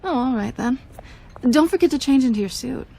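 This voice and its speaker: An actress playing a sly, defiant young cat burglar in a dramatic video game, cool, teasing and deliberate. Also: female voice, high voice, expressive, gravelly, mellow, heavily acted.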